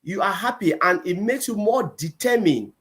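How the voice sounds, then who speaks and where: Halting man with a slightly high voice. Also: animated, a bit bright. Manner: halting, many fillers and restarts. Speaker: host. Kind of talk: closing remarks at a gathering.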